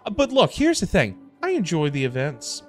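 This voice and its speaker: A middle-aged man chatting casually to livestream viewers in an animated, emphatic way, often repeating himself for emphasis. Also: fairly high pitch, moderate pace. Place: indoor home streaming setup. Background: music.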